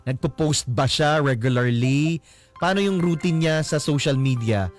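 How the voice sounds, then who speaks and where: A man's voice: on the high side, measured pace, soft background music. A man with a Filipino accent hosting a radio advice show, counselling in conversation and slipping into English.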